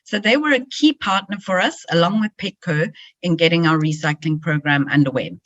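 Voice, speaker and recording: A woman with a croaky, cold-roughened voice, earnestly explaining a community project in a webinar with frequent ums. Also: fairly low pitch, fairly quick, fairly bright tone. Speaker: resident and community organiser. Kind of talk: webinar presentation. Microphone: video call. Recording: muffled video call audio.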